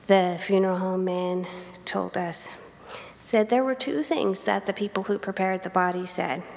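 A woman with a gravelly, fry-laden voice speaks softly at a memorial, at times sinking to a near whisper. Her mid-pitched voice echoes through a church sanctuary with a long reverb. Her delivery is intimate and quiet. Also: a little slow. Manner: soft, dropping to near-whispers. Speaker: family member giving a eulogy. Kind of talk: personal memorial remarks. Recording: reverberant hall.

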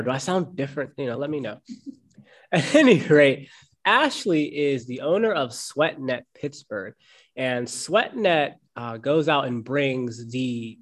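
A man hosting a fitness podcast interview, recovering from a cold and talking in an upbeat, chatty way with easy laughter. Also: mid-range voice. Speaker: podcast host.

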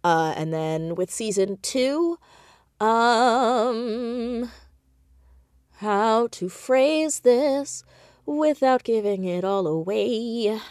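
A woman's voice: a little high, lively intonation, extremely slow, darkish tone, dry recording, studio quality. A woman chatting casually as an interview guest, playful and wry in manner. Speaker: guest, audio drama creator.

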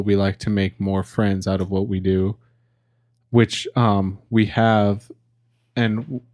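A man's voice: fairly low pitch, darkish tone, no room sound, studio quality. A young man in his early twenties co-hosting a casual podcast, a little drunk, rambling with fillers and restarts. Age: young adult. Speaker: podcast co-host.

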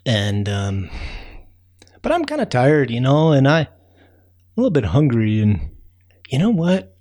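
A man's voice: mid-range voice, wildly swooping pitch, measured pace, darkish tone. A man voicing a friendly, chatty raccoon who rambles through a bedtime tale with many fillers. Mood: easygoing. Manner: rambling, folksy, lots of fillers. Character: friendly chatty male raccoon, easygoing storyteller. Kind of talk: bedtime storytelling.

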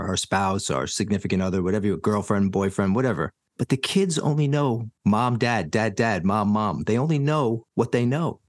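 Affirming host with a low, dry voice. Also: male voice, no echo. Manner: warm, affirming, conversational. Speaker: podcast host. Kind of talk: interview hosting.

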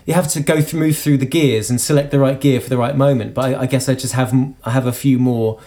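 A singer-songwriter from the UK, talking in an interview about his singing, with a high voice and halting speech full of restarts. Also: fairly even intonation, mellow. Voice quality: self-described high voice. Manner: halting, many restarts and repeated words. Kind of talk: conversational interview.

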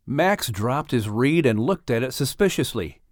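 A man narrating a children's audiobook in an expressive, dramatic and carefully phrased storytelling style. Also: medium pitch, a little slow, dry recording, studio quality.

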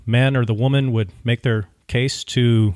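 A man co-hosting a podcast in casual conversation, speaking in halting, searching phrases. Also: mid-range voice, steady tone, a little mellow, dry recording, studio quality. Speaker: podcast co-host.

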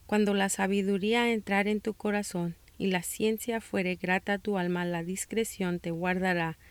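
A Mexican-American woman from Texas hosts a faith-based parenting podcast. She reads from a script in a calm, measured voice and slows down for scripture. Her voice sits in a mid range, recorded close and dry with no room sound. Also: mid-range voice, dry recording. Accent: Mexican-American Spanish, northern border and South Texas. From United States (Texas). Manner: calm, measured reading with slow scripture passages. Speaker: podcast host.

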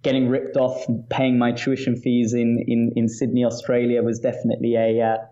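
A man's voice: medium pitch, completely flat delivery, moderate pace, warm tone, muffled recording. A British man in his thirties from London, answering interview questions casually with many restarts and fillers over a video call. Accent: British English. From northwest London, England. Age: 30s. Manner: repeats words at phrase starts, many fillers. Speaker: startup founder, guest. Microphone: video call from home.

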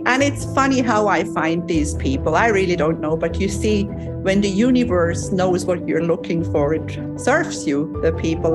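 A woman from Switzerland who hosts a travel interview podcast, chatty and quick to laugh. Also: lowish voice. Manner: chatty, laughs often, digresses. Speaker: podcast host. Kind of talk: casual interview chat. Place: home in Cyprus.

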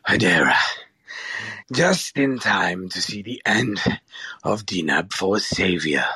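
An actor playing a gloating, tyrannical alien emperor in an audio drama, with a raspy, gravelly voice and a grandiose, menacing delivery. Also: male voice, low voice, expressive, takes it slowly, sharp tone, dry recording, full character voice. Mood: gloating menace.

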